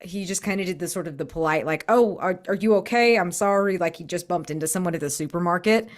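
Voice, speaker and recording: A woman playing a tabletop game, chatting casually and reacting in an informal, expressive, wry way. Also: on the low side, fast.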